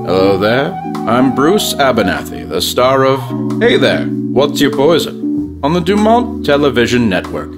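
Casting: smarmy American 1950s celebrity pitchman, wildly animated intonation, radio ad over music. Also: unhurried. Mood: hammy sales pitch. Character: smarmy, folksy 1950s male TV star pitching vodka. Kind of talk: 1950s radio commercial parody.

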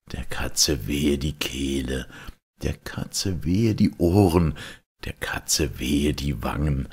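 A man reading a fairy tale aloud as a storyteller, in a theatrical, deliberate and dramatic manner. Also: extremely low pitch, gravelly, slightly rough. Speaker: storyteller, reader.

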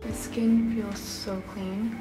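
A woman's voice: on the high side, flat delivery, slow, low-quality recording. A young adult woman, chatting casually as a vlogger. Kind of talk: casual vlog chat. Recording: music laid loudly under the voice. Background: music.

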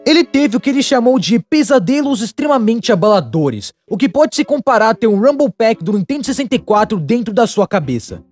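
A Brazilian man voicing a young, wry cartoon storyteller in a chatty, animated dubbed narration. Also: very high voice, brisk, few pauses, soft background music, heavily acted.

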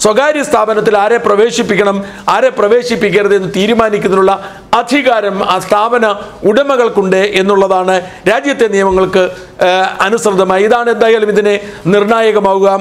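A man's voice: sits high, fairly even intonation, normal speed. A man, presenting news commentary to camera from a small studio, explaining at length with frequent "eh" fillers and restarts. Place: small indoor studio office.

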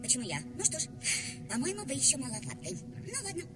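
A woman voicing a cheerful, forgetful blue fish in an animated film dub, chatting eagerly and rambling. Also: high voice, fast talker, far from the mic, loud music behind the voice. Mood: flustered, chatty.

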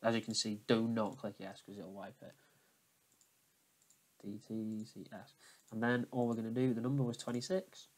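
A man's voice: lowish voice, little pitch movement, moderate pace, pauses often. A man giving a casual, informal how-to walkthrough, explaining each step and often repeating himself. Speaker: tutorial presenter.

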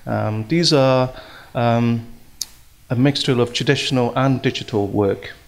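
A man's voice: mid-range voice, a little slow, creaky. A middle-aged man from Hong Kong giving a hesitant talk at a symposium, with many fillers and restarts, into a stand microphone in a large hall. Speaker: speaker at a symposium. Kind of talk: conference talk.

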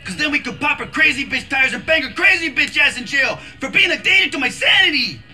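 A man playing an excitable, high-strung, slangy car thief in a video game, with a melodramatic manner. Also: a little fast, faint music behind, exaggerated voice. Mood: exasperated. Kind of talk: video game cutscene acting.

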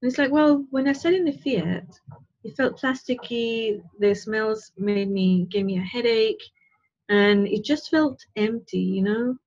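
A woman's voice: a little high, measured pace, darkish tone. A woman in her fifties giving a casual spiritual talk from her living room over a video call, earnest and rambling, checking in with "right?" and "you know". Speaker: spiritual teacher. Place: living room at home, indoors. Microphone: wired earphone mic on a video call. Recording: dull lo-fi call audio.

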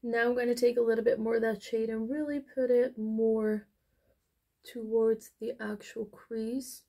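Chatty young woman, slight fry. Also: fairly high pitch, fairly even intonation, a little slow, dark tone. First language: Dutch. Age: young adult. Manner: chatty, rambling with many fillers. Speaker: beauty vlogger. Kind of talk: casual makeup tutorial chat. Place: small bedroom, indoors.

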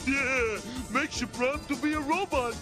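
A man's voice: very high voice, a little slow. A man playing a dim, proud robot henchman in a cartoon, talking in a dopey grumble. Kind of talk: cartoon character acting. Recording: loud music behind, old cartoon soundtrack.

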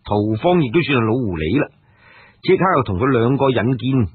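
A man telling a dramatic martial arts story in an expressive, animated way. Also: mid-range voice, a little fast, husky, no echo. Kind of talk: dramatic audiobook narration of a martial arts tale. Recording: muffled phone-like radio sound.

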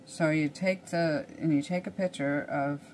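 An older woman from Canada talks to her phone camera in a cluttered little kitchen, thinking aloud as she goes. Her voice sits low and she speaks at an unhurried pace. A faint hum runs underneath. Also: fairly even intonation, darkish tone. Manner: rambling, thinking aloud, chatty. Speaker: vlogger. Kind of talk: casual vlog chat. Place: small cluttered home kitchen and bedroom, indoors.

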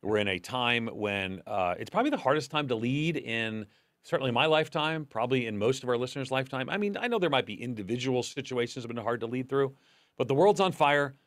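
A man's voice: medium pitch, animated, fast, a bit bright, no echo. A man in his fifties hosting a podcast interview in a studio, talking in a loose, conversational way with restarts. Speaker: podcast host. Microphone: desk boom mic.

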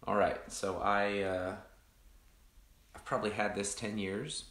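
A man, a pastor casually chatting with online viewers in a rambling, reflective way, his voice deepened by allergies. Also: steady tone, measured pace, a bit bright, roomy sound. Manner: rambling, many restarts and fillers, greets viewers by name. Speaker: pastor hosting an online coffee chat.

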